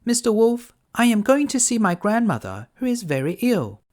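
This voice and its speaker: Dad doing a little girl voice, way high, sweet n innocent, sing-songy fairy tale kid. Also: very animated, normal speed, darkish tone. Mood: innocent, cheerful.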